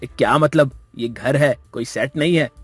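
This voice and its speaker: An actor playing an impatient, grumbling husband with a husky voice in a family drama story. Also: male voice, mid-range voice, unhurried, no room sound. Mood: annoyed. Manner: irritated, complaining.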